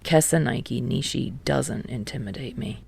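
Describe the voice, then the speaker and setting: A woman voicing a dry, aloof, sardonic teenage boy in an audiobook, with an unbothered deadpan delivery. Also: a bass voice, normal speed, gravelly, breathy edge, no echo, pitched below the performer's own voice, full character voice. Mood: dismissive. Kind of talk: audiobook character voice.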